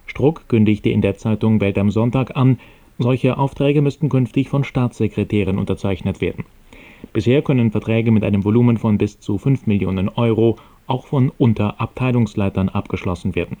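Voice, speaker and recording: A man presents the radio news in a low, mellow voice. His delivery is formal and measured, with a neutral tone that keeps to the script.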